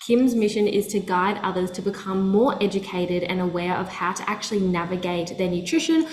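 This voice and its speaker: A woman's voice: medium pitch, a little fast, echoey room, a little hiss or hum, poor audio. A woman hosting a wellness podcast as a nutritionist, effusive and enthusiastic as she introduces and chats with her guest. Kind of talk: podcast hosting and casual chat.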